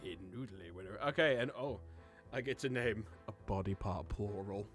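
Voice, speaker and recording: An excitable man hosting a party word game in casual banter with friends. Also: fairly low pitch, normal speed, soft background music. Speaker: host.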